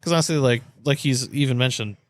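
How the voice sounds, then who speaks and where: A man's voice: medium pitch, fast talker, dry recording. A man co-hosting a podcast in casual, laid-back, jokey banter. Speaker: podcast co-host.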